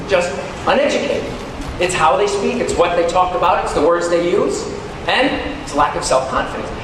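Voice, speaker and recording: High-pitched man speaking in a very echoey hall. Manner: emphatic, dramatic, drawn-out key words. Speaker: keynote speaker, teacher. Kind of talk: motivational keynote speech to teachers. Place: large hall at a teachers' conference. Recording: very echoey hall, distant, noisy.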